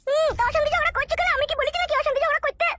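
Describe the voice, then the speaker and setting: Piercingly high, stubborn cartoon village woman. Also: fairly even intonation, racing, heavy background noise. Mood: defiant, complaining. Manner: complaining, defiant, argumentative. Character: shrill, stubborn, complaining cartoon village women. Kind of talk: comic cartoon dialogue.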